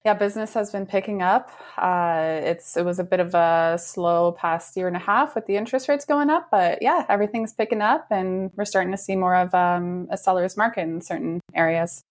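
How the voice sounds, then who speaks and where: A woman in her thirties, a real estate agent from the United States, chatting relaxed in an interview with light laughs and fillers. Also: mid-range voice, normal speed. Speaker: realtor guest. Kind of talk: casual interview chat.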